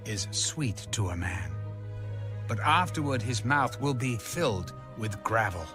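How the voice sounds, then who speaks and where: A man reading scripture aloud in a solemn, measured, declamatory style with music behind him. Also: fairly low pitch, animated. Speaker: Bible reader.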